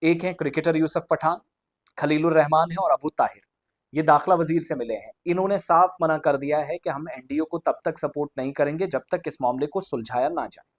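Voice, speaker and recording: A man's voice: fairly high pitch, a little fast. A man presents news commentary to viewers in an explanatory way, glossing formal terms in English.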